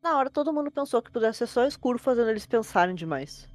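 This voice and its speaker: Fast-talking Brazilian woman storyteller. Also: a little high, expressive, darkish tone. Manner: informal, fluent retelling. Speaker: host and storyteller. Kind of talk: conversational narration of a creepypasta.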